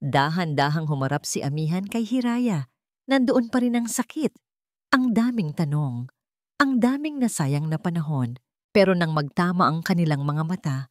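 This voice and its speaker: A woman's voice: lowish voice, darkish tone, no room sound, studio quality. A woman reading a scripted story as its narrator, in a dramatic storytelling style.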